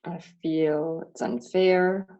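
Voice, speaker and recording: Woman, parent, low-pitched, candid and halting; reflective inquiry session. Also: little pitch movement, warm tone, highs cut off. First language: not German. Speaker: parent doing the worksheet. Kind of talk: reflective self-inquiry dialogue.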